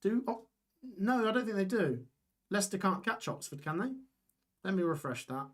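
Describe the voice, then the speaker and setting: A young man hosting a live football watch-along stream, reading out scores and chatting with viewers while his voice gets hoarse. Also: high voice, big pitch swings, normal speed. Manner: chatty, reads scores aloud, reacts to chat. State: voice going hoarse.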